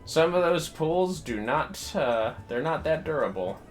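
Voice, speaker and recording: A man hosting a livestream, chatting casually and thinking aloud in a rambling, halting way as he plays a game. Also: a little high, expressive. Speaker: livestream host. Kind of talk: casual chat while playing a game. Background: music.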